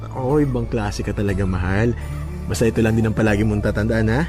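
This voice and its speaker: A man's voice: fairly low pitch, fairly even intonation, fast talker, over music, natural voice. A man playing a devoted, affectionate young husband in a Filipino radio drama, speaking reassuringly. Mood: loving.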